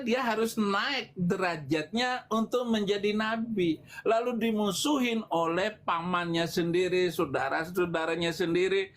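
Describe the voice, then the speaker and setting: Slow, high-pitched man preaching. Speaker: preacher answering questions on a livestream. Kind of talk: extemporaneous religious polemic talk.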